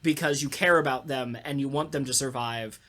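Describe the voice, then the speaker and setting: A man's voice: mid-range voice, a little fast, pushed and bright. A man who works as a voice actor, chatting casually on a podcast panel in a jokey, rambling, self-deprecating way. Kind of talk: casual chat about radio plays.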